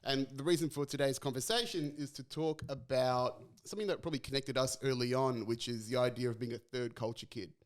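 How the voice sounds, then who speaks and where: A young Australian man hosting a casual podcast chat, relaxed and chatty, laughing easily. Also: medium pitch, brisk, hardly any pauses. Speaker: podcast host.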